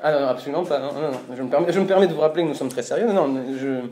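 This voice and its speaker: In a roomy, echoey space, a man putting on a fussy telecom billing adviser speaks in a slightly high voice for a man. He is officious and stammering, politely apologetic yet insistent, as on a prank call. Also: racing, mellow, close to an everyday voice. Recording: roomy, echoey room sound.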